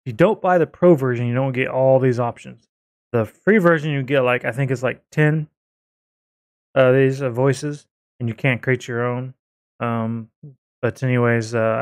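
A man giving a casual software demo in an informal, hesitant, rambling way, close to a desktop microphone. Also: mid-range voice, clear, resonant tone, no room sound. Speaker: video creator reviewing software.

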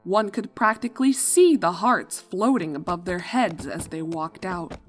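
A woman's voice: lowish voice, measured pace. A woman reading scripted fiction as the narrator of a story. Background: background music.

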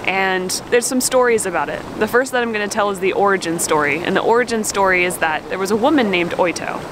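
A woman's voice: mid-range voice, fast. Chatty woman vlogging outdoors. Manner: chatty, playful storyteller. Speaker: travel vlogger. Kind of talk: casual travel vlog narration. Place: outdoors at steaming volcanic hot springs. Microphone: handheld camera at arm's length. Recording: outdoor wind and steam noise. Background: hissing steam vents.

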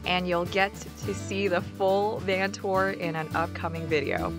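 A woman's voice: fairly low pitch, a little slow, music in the background. A young woman hosting a casual vlog, chatty and often laughing, recorded on a camera mic at a desert camp. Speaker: vlog host. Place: outdoor desert camp and van interiors.

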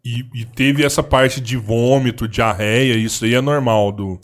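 Brief: Brazilian male host, mid-pitch, inquisitive, faint background noise. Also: fairly even intonation. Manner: curious, quick follow-up questions. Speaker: podcast host. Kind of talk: casual interview questions. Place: podcast studio.

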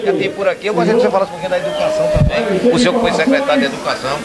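Older guy from brazil's northeast doing event interview, high voice, really noisy crowd outside. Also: lively intonation, very fast. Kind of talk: informal on-location interview. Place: outdoor night event, in front of a sponsor backdrop. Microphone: handheld microphone. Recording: loud crowd noise behind, outdoors.

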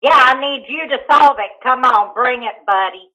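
A woman's voice: high voice, normal speed, bright, forceful tone. A woman with a Southern US accent talking casually on the phone in a defiant, goading way. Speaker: prank call target. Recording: muffled telephone call.

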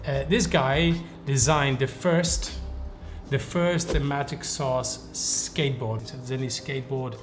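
A man's voice: mid-range voice, steady tone, measured pace, over music. Upbeat Dutch man hosting. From Netherlands. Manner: upbeat, playful, teasing. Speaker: host. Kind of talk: casual interview. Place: indoor room with wooden wall.

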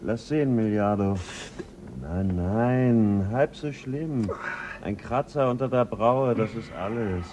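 A man's voice: on the low side, takes it slowly, darkish tone, slight background noise. An actor playing a spaceship crewman who soothes and placates his shipmates in a German radio drama. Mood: soothing.

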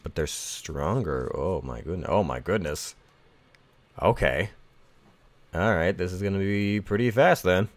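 A man's voice: low-pitched, animated, measured pace, dry recording. A man doing casual gameplay commentary with a slightly congested, froggy voice, rambling, chuckling and thinking aloud. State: congested, a bit froggy from the sniffles. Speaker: gaming YouTuber.

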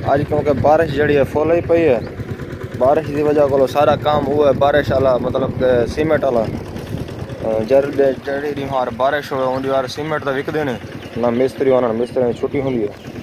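A man's voice: medium pitch, steady tone, normal speed. A man in his thirties from rural Pakistan with a Saraiki accent, chatting casually as a village vlogger into a handheld phone outdoors. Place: outdoors on an open village roadside. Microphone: handheld phone at arm's length. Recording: noisy outdoor phone recording, wind and vehicles.

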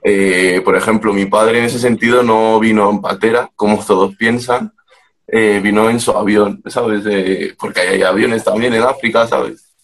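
A young man from Madrid speaks on a video call, his voice sitting fairly low. He tells his stories in a relaxed, chatty way and slips into other people's voices when he quotes them. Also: moderate pace. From Spain, Madrid. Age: young adult. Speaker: panelist. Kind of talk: casual chat on a video call.

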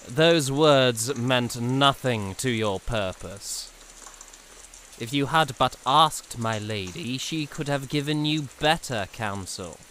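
A man's voice: medium pitch, expressive, slow pace, a bit bright, slight background noise. A man playing a haughty, courtly young knight in a fan audio drama, with grandiose, archaic, theatrical delivery. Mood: mocking amusement.

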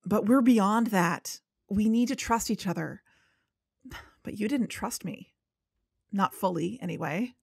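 A woman's voice: mid-range voice, animated, unhurried, gravelly, long pauses, breathy edge, no room sound, studio quality, full character voice. A woman playing a guarded, earnest podcast investigator in a conversational audio drama. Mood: doubtful, aggrieved. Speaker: fictional podcast co-host.

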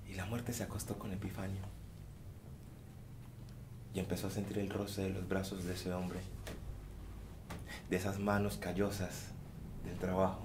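A man performing a folktale on stage, speaking rhythmically and repeating phrases, often sinking to hushed tones. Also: low voice, level tone, normal speed, pauses often, background noise. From Colombia. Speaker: storyteller. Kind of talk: theatrical oral storytelling. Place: dark theater stage.

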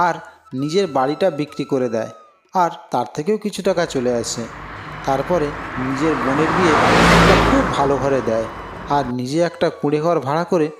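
A man giving scripted narration for a cartoon moral story as its storyteller. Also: on the high side.

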